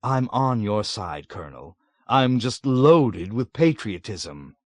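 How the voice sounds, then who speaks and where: A man voicing a sly, unruffled male colonel who speaks with slow, dry irony. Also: fairly low pitch, sing-song, dry recording. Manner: drawling, ironic, dryly mocking.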